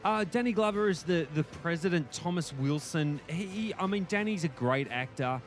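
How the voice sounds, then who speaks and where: A man's voice: on the high side, animated, moderate pace, soft background music. A man co-hosting a casual film review podcast, talking in a thoughtful, rambling, hedging way. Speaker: podcast co-host reviewing films. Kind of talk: casual movie review chat.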